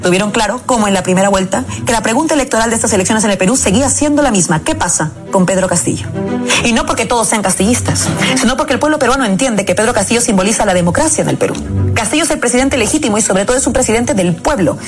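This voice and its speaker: A woman's voice: fairly high pitch, expressive, fast talker. A Peruvian woman with a Peruvian Spanish accent giving an impassioned, rhetorical political editorial in a rallying tone. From Peru. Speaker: political commentator. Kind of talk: scripted political editorial over music. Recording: music bed underneath.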